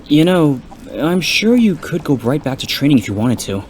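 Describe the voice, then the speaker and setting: A man's voice: fairly high pitch, very animated, normal speed, slight background noise. A man playing an earnest, polite and direct young martial arts student in an amateur anime-style audio drama.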